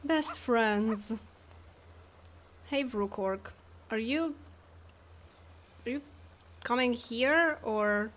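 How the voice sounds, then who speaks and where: A woman's voice: on the high side, very slow, long pauses, a bit bright, slight background noise. Chatty woman streaming a game. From Poland. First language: Polish. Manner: chatty, laughs often, talks to game characters. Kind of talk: casual live game commentary.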